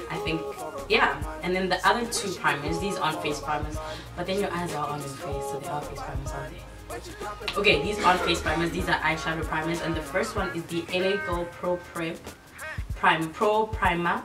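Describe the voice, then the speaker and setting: A hoarse young woman from South Africa, casually chatting through a makeup tutorial with self-mocking asides. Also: low-pitched. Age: young adult. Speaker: beauty vlogger. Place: bright room indoors. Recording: echoey room, mic far off, music behind.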